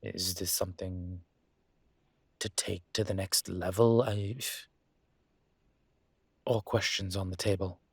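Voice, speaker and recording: Casting: male actor for ancient demon teacher, intimate roleplay audio. Deep, husky tone, courteous, measured and a little hesitant, with a teasing streak. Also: flat delivery, no room sound, exaggerated voice. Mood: earnest, careful. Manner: measured, hesitant, formal wording. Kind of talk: intimate one-sided audio roleplay acting.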